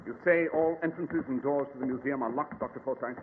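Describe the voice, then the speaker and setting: An actor playing an incisive, grave detective with brisk, commanding, precise speech in old-time radio drama. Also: male voice, mid-range voice, lightly acted. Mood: composed, grave. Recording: echoey, hissy, tinny old radio recording.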